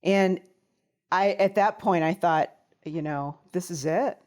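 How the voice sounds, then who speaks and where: A woman's voice: on the low side, slow pace, a little mellow. A woman guest on a talk show, telling a personal story in an interview.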